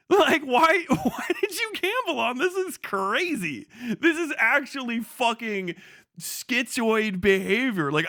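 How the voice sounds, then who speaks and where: A man's voice: extremely high pitch, bright, forceful tone, dry recording, studio quality. A man giving casual, profane, slangy commentary as a live streamer, incredulous and mocking in manner. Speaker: live streamer reacting to internet drama.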